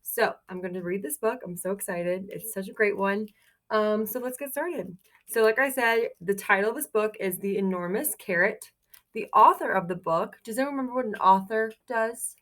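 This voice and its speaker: A woman reading a picture book aloud to young children like a teacher, expressive and encouraging, pausing to put questions to her listeners. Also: medium pitch. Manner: expressive, encouraging, exclaims softly over pictures.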